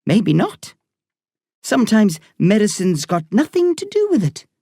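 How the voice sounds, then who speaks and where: A reader voicing a blunt but kind woman doctor with an Irish accent, earthy and warm in her talk. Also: mid-range voice, wildly swooping pitch, measured pace, breathy edge, no room sound, studio quality, voice pushed up, full character voice. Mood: wry. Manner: salty, earthy talk said with warmth. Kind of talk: audiobook character reading.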